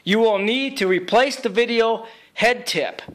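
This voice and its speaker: A man's voice: very high-pitched, measured pace, a bit bright. A man narrating an informal repair tutorial as a technician, speaking in an emphatic, boastful way.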